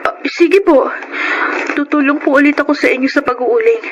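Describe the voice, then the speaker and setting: A woman's voice: sits high, moderate pace, lightly acted. A woman with a Filipino accent playing a dutiful, respectful teenage daughter in a radio drama. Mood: caring, gentle. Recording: noisy radio drama recording.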